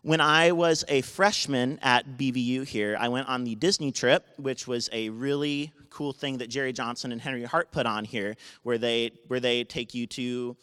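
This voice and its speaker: A man in his twenties tells a true story from his own life to a live audience. His voice is loud, smooth and resonant, and his sentences often stop and start again. A long reverb from the hall trails behind each phrase. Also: medium pitch, fairly even intonation. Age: 20s. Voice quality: naturally loud voice. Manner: halting, with many restarts and self-corrections. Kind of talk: unscripted personal story told live to an audience. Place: university event space.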